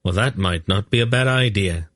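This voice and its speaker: A courteous royal traveler with dry, understated humor, as a narrator voices him. His voice is low and a little husky, recorded dry and close. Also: normal speed, fairly bright tone, no echo.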